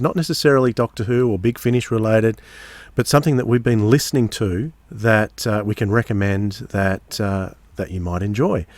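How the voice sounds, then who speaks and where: A man hosting a podcast in a casual, measured way, pausing mid-phrase. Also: fairly low pitch, a little mellow, no echo. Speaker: podcast host.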